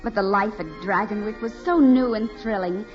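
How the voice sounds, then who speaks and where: A woman's voice: mid-range voice, moderate pace, faint music behind, natural voice. An actress playing a naive, eager young farm woman, earnest and wistful, in dramatic 1940s radio style. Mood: wistful remembering. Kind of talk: 1940s radio acting, dramatic first-person narration. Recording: muffled 1940s radio recording.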